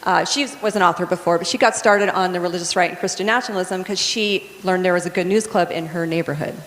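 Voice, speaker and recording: A woman's voice: fairly low pitch, steady tone, quick pace, fairly bright tone. A woman casually introducing panelists at a church event, chatty and informal with frequent ums. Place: church hall. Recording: echoey hall with a faint audience.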